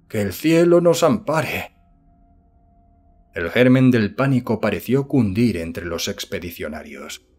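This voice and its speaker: A man's voice: on the low side, darkish tone, husky. A man narrating a horror tale as a scripted audiobook.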